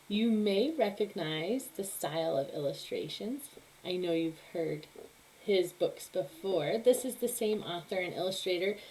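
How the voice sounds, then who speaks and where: A woman's voice: mid-range voice, a little mellow, poor audio. Woman reading a children's story expressively.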